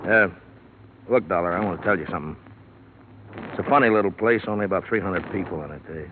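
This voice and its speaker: An actor playing an easygoing but gruff rural sheriff in an old radio drama, with a folksy, plain-spoken way of talking. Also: male voice, low voice, fast, natural voice. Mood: calm. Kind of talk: 1950s radio drama acting. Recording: tinny lo-fi old radio broadcast with hiss.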